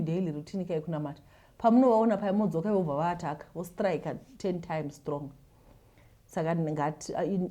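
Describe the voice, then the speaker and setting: A woman's voice: fairly low pitch, warm tone. A woman with a Zimbabwean accent hosting a show, telling stories and giving advice casually, with repeated words and acted-out retelling. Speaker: host reading listener confessions and giving advice.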